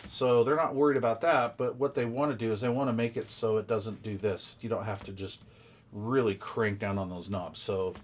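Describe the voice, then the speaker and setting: A man's voice: on the low side, steady tone, normal speed. Man giving casual unboxing commentary, noisy background. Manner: informal, explanatory, thinking aloud. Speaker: product reviewer.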